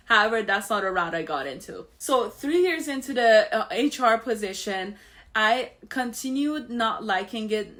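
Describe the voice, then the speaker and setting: A woman's voice: mid-range voice, fairly bright tone. A woman in her thirties, from Iran with an Iranian accent, hosting a show and telling her story candidly, with frequent fillers and restarts. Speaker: host. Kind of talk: personal story monologue.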